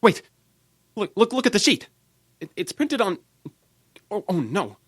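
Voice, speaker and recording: A man playing an agitated, halting newspaper office worker in an old-time radio drama. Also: sits high, breathy edge, no echo, heavily acted. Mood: alarmed, horrified. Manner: halting, excitable.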